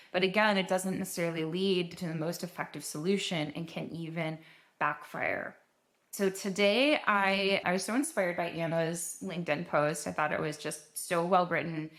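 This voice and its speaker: A woman's voice: fairly low pitch, a bit bright. Earnest woman hosting a podcast. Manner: earnest, conversational, with restarts. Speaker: podcast host. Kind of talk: solo podcast introduction.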